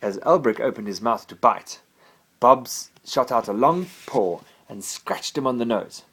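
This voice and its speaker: A man's voice: medium pitch, expressive, normal speed, fairly bright tone, breathy edge, low-quality recording. A man reading a children's bedtime story expressively, as a father to his child.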